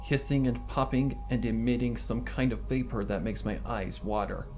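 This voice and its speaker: A man reading a horror story in a dramatic, deliberate scripted narration. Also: medium pitch, steady tone, darkish tone. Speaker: fan fiction reader. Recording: music behind the reading. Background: background music.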